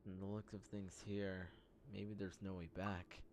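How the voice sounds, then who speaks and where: A man's voice: deep voice, little pitch movement, unhurried, a little hiss or hum. A man giving casual reaction commentary while playing through a game. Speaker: gamer reacting to a playthrough. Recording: loud game music behind.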